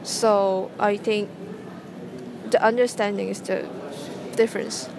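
A young woman from a Cantonese-speaking background with a Hong Kong accent, answering interview questions in English with hesitant pauses and restarts. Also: mid-range voice, fairly even intonation, vocal fry. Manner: hesitant, many restarts and hums. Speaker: interviewee, student. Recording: noticeable background noise.

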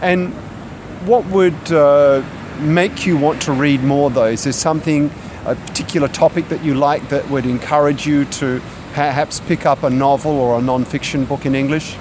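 A man interviewing a graduate student, asking measured follow-up questions. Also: on the high side, fairly even intonation. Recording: background noise.

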